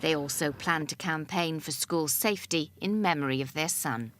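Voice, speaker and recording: A woman's voice: deep voice, moderate pace, a bit bright, slight background noise. A woman news reporter narrating a scripted report in a serious broadcast style.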